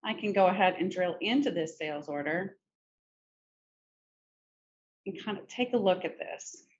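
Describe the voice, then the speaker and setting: A middle-aged woman presenting a software demo in a conversational, informal step-by-step tutorial style. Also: on the low side, animated, unhurried. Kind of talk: conversational screen-share tutorial. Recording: muffled, noisy screen-recording audio.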